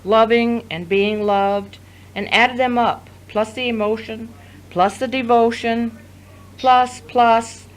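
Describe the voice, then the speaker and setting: A woman playing a defensive, sharp-tongued adult sister in an audio drama, emphatic and argumentative. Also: a little high, fairly even intonation, slow.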